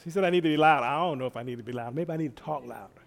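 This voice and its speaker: A middle-aged man preaching a casual, folksy sermon, soft-spoken at rest and building to excited calls of praise. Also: a little high, racing, creaky. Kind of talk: conversational sermon.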